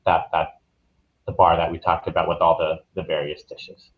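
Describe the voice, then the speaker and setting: A man's voice: sits low, level tone, moderate pace. A man speaking as an interviewed travel advisor, explaining things in a casual, informal, loosely phrased way. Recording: dull, muffled lo-fi audio.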